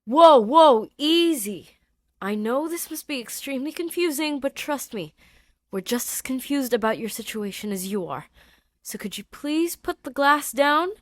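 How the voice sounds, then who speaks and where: A woman voicing a gentle, earnest male scientist in audio fiction, soothing and slightly rambling as he reassures. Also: high-pitched, animated, a little slow, no echo, voice pushed up. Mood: urgent, placating. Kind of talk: character dialogue in audio fiction.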